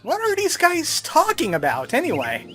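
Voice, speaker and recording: A man's voice: extremely high pitch, wildly swooping pitch, normal speed, over music. A man giving casual commentary as he plays through a video game. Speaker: let's player.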